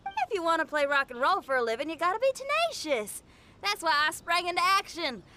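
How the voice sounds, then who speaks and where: A woman voicing a spirited, friendly young female rock musician in a video game, with a folksy, slangy and expressive way of talking. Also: very high-pitched, fairly quick, heavy background noise. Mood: resolute. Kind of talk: animated video game voice acting. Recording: music behind the voice. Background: background music.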